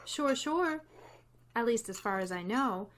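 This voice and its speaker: Woman acting as wisecracking lady schoolteacher, highish animated voice, radio comedy, long-suffering, noisy recording. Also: measured pace. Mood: wry. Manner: dry, wisecracking delivery. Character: wry, long-suffering female high school English teacher. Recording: noticeable noise with clicks.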